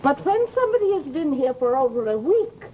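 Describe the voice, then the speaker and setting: High-pitched nosy American landlady. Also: sing-song, brisk, highs cut off. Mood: aggrieved. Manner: garrulous, dramatic, scolding. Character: nosy, aggrieved, self-pitying widowed landlady. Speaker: radio drama actress. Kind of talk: 1940s American radio horror acting. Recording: noisy old-time radio recording.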